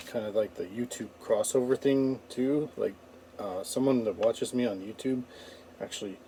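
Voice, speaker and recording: Canadian man, dark relaxed tone, off-the-cuff rambling vlog chat over slight ambient noise. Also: on the low side. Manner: halting, rambling. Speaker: comedian vlogger. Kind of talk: casual unscripted vlog recap.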